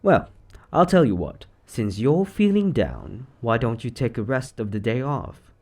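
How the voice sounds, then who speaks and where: A man playing an eccentric, secretly sinister occult shop owner in a roleplay monologue, chatty and offhand with theatrical, drawn-out words. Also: mid-range voice, darkish tone. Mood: reassuring, shifty. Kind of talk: scripted roleplay monologue to the listener.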